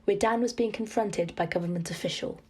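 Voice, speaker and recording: A woman's voice: medium pitch, a little fast, crowd noise. A woman narrating a comic audio drama in a scripted storytelling style.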